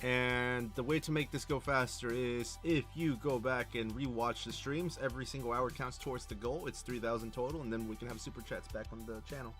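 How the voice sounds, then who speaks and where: A man's voice: medium pitch, fairly even intonation, fairly quick, hardly any pauses, a bit bright, faint music behind. Agreeable man co-hosting a livestream. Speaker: co-host and voice actor on the stream. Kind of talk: casual livestream chat.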